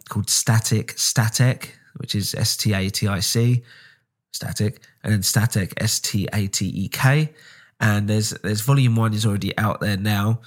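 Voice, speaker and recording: A man with a slightly low voice talks through a solo podcast update in a loose, rambling way. He sounds nasal from a cold, and he stumbles over restarts and fillers. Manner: rambling, frequent ums and restarts. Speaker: podcast host.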